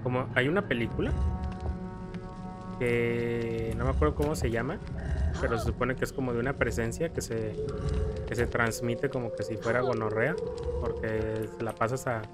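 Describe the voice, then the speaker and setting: A man's voice: mid-range voice, fairly even intonation, moderate pace. A Mexican man giving casual, rambling gameplay commentary in slangy, playfully profane speech. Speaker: video game streamer. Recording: game music behind the voice. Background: video game music.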